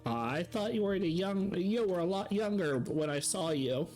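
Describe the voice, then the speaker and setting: A woman's voice: on the low side, expressive, normal speed, darkish tone, soft background music, lightly acted. A man voicing a kindly old female dwarf shopkeeper who talks sweetly and dotes on customers. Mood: fond. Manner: sweet, doting.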